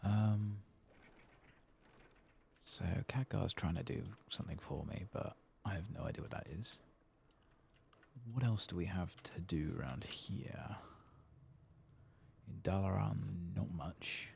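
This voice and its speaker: A man giving soft-spoken, sometimes whispered ASMR gameplay commentary, murmuring and musing as if to himself. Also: low voice, slow, creaky, pauses often, slight background noise. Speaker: game streamer.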